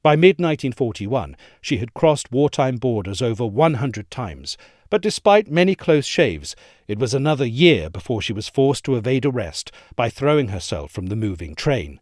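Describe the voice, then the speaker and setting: A man giving a measured, articulate scripted narration of a history essay. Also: mid-range voice, big pitch swings, a little mellow, dry recording, studio quality. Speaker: essay reader.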